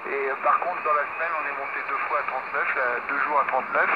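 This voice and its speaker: Relaxed French ham, muffled and hissy. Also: male voice, medium pitch, quick pace. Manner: easygoing, technical, with light laughs. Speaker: ham radio operator at home station. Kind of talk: casual radio contact chat. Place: home radio shack with amp and 3D printer running. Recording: muffled radio audio with clear hiss.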